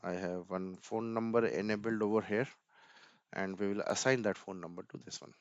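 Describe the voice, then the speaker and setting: A man's voice: lowish voice, moderate pace, no echo, lo-fi sound. A man giving an informal screen-share tech walkthrough, thinking aloud hesitantly and often repeating words. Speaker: tutorial presenter.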